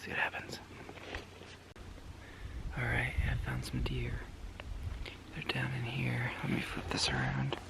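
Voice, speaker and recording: Gravelly man whispering outdoors. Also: mid-range voice, big pitch swings, measured pace, long pauses, rough recording. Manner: mostly whispering to avoid spooking deer. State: scratchy throat. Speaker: hunter filming himself. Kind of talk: self-filmed outdoor commentary. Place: outdoors on snowy sage hillside. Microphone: handheld camera mic.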